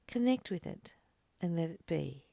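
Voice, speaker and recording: A woman giving a reflective spiritual teaching, reading and commenting in short, earnest, gently urging phrases with pauses. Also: on the low side, lively intonation, creaky, dry recording.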